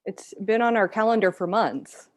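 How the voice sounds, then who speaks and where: A woman's voice: medium pitch, lively intonation, moderate pace, natural voice. Woman acting an exasperated, caring girlfriend. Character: frustrated but concerned girlfriend, direct, exasperated. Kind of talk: live table read acting.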